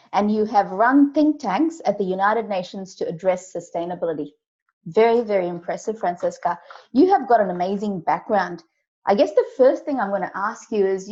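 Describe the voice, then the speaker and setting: A woman's voice: medium pitch, a little mellow. A woman hosting a podcast interview, introducing her guest with enthusiasm and lots of praise. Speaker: podcast host. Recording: dull lo-fi sound.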